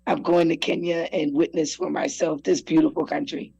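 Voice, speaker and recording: A woman, from South Carolina, telling her story as a guest on a talk show, relaxed, with many fillers. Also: low voice, normal speed, gravelly, husky. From South Carolina, USA. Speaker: guest entrepreneur. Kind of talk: interview storytelling.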